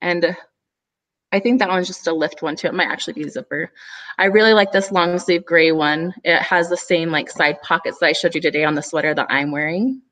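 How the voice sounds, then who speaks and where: A woman with a lowish voice and a cold walks through products on a live video call. She talks casually, with many fillers. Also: fairly even intonation, a little fast, a bit bright. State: has a cold. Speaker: guest business owner.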